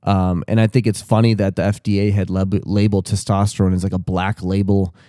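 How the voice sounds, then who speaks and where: A man's voice: low voice, flat delivery, fairly quick, warm tone, dry recording, studio quality. A man in his thirties talking casually on a podcast, candid and rambling, with restarts and casual swearing. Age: 30s. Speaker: podcast co-host.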